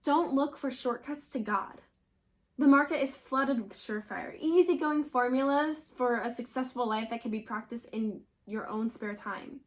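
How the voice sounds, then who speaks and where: High-voiced woman reading scripture. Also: normal speed. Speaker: scripture reader.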